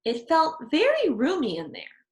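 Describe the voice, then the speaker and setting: A woman reading a children's story aloud in expressive narration, phrasing it emphatically for young listeners. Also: high voice, a little slow, reverberant.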